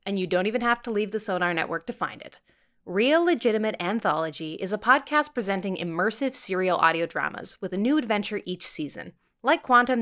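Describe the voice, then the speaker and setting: A woman's voice: mid-range voice, a bit bright. A woman hosting a podcast, giving a scripted introduction in an informal, playful and enthusiastic way. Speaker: podcast host, voice actor.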